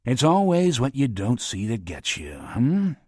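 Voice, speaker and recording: A male audiobook narrator voicing a suave older spymaster who speaks softly, slowly and wryly. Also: mid-range voice, wildly swooping pitch, husky, dry recording, clean studio sound, full character voice.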